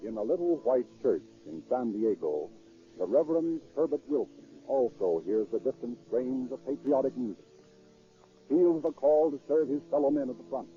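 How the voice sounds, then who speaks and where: A man's voice: medium pitch, expressive, normal speed, soft background music. A man announcing and narrating in scripted 1930s radio style, formal and declamatory. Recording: tinny 1930s radio recording.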